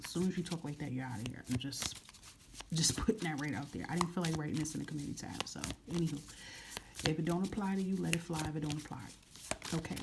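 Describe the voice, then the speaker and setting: A soft-spoken woman reading tarot cards to camera in a casual, frank way, often repeating phrases. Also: sits low, creaky, very noisy. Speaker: tarot reader.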